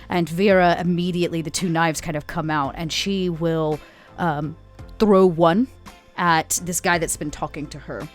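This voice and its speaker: A woman's voice: sits low, fairly even intonation, unhurried, soft background music. A woman casually improvising her character's actions as a tabletop player, hesitant and thinking aloud. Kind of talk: improvised in-game narration, casual.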